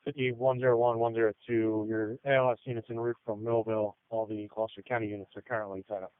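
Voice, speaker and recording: A man's voice: lowish voice, flat delivery, fast, clear, resonant tone, no echo. Man on a tinny two-way radio. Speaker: emergency radio responder. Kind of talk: terse radio traffic. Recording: tinny narrowband radio transmission.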